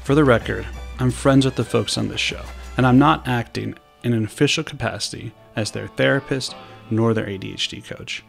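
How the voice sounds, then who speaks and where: A man in his thirties, an ADHD coach and therapist, giving a measured, professional disclaimer. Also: medium pitch, gravelly, soft background music. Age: 30s. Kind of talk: formal disclaimer.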